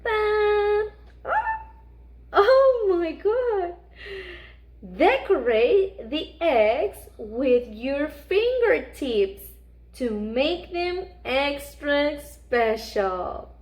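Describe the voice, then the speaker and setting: Storybook monster, voiced by a woman, friendly and mischievous; high, very slow delivery with big gasps, coaxing little listeners. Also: big pitch swings, darkish tone, full character voice. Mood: startled, amazed. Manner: gasping, exclaiming, exaggerated wonder, coaxing young children. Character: Larry, excitable mischievous friendly picture-book monster. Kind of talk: animated children's read-aloud.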